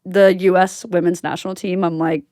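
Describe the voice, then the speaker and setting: An American woman from Tennessee hosting a casual interview, speaking in an informal, expressive and chatty way. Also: fairly low pitch, normal speed, no room sound, studio quality. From Tennessee, United States. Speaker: podcast host.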